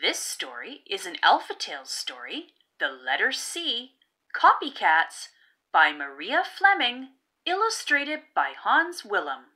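A woman reading a picture book aloud for young children, expressive and deliberately enunciated, as the host of a read-aloud. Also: mid-range voice.

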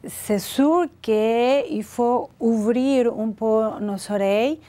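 Woman, forties, Mexican Spanish accent, native Spanish speaker, language teacher, slightly high voice, very slow deliberate delivery, careful enunciation. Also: warm tone. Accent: Mexican Spanish accent in French. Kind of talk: televised beginner Spanish lesson. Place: indoor studio set with chalkboard. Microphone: lapel.

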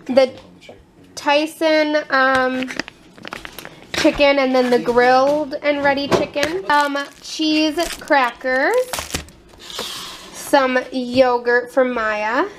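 A young American woman with a high-pitched voice narrates a casual show-and-tell vlog. She takes her time, naming things in short, halting phrases. The handheld camera recording in a home kitchen carries noticeable noise. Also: fairly even intonation. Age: 20s. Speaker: family vlogger. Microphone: handheld camera at arm's length.

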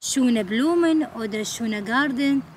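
A woman's voice: a little high, slow, slight background noise. A middle-aged woman from Iraq speaking simple non-native German outdoors in a garden. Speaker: garden project participant. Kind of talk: documentary interview.

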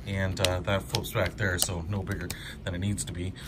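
A man's voice: low-pitched, level tone, moderate pace, background noise. A man giving a casual hands-on product review in an informal, enthusiastic, conversational way.

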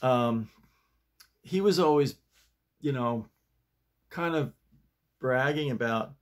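An older man in his sixties or beyond chatting casually to camera in a folksy, halting way, leaning on repeated emphatic phrases. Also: medium pitch. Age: 60s or older. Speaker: vlog host and amateur playwright. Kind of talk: casual rambling chat to camera. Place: small home study, indoors.

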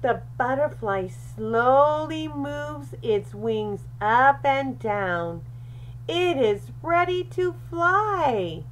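Lady reading a kids story really slowly, super lively up and down voice, bit of noise behind her. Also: high voice, low-quality recording. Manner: animated, deliberate, with questions and asides to young listeners. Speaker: read-aloud storyteller for young children. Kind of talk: expressive picture-book read-aloud.

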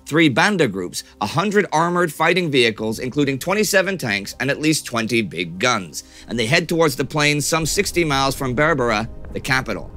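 A man's voice: on the high side, sharp tone. A middle-aged man hosting a history show, reading scripted narration with emphatic, dramatic storytelling delivery. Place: small furnished study set, indoors.

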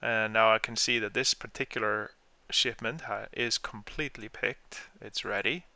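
A man explaining software step by step in an informal screen-share tutorial, as the trainer. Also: on the low side, fairly even intonation, normal speed, no room sound.

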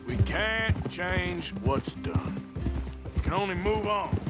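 A tough, steady American outlaw in a western game. He talks bluntly in a folksy drawl with plenty of movement in his pitch. The music behind him is loud. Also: takes it slowly. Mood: reassuring. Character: steady, stoic tough male outlaw gunslinger. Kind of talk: video game dramatic dialogue.

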